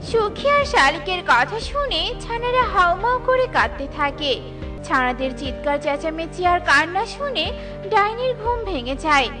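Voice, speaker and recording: A woman's voice: extremely high pitch, big pitch swings, measured pace. A woman narrating a scripted children's story as a storyteller, with music behind her.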